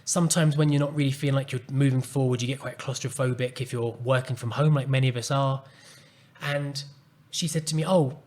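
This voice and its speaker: A man hosting a solo podcast, speaking calmly and earnestly as he reflects on a personal story. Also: medium pitch, brisk. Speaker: podcast host and coach.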